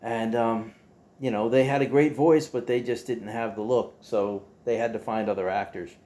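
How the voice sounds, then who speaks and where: A man hosting a casual monologue to his viewers in a folksy, playful way, halting and repeating himself as he goes. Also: medium pitch, steady tone, mellow. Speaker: host.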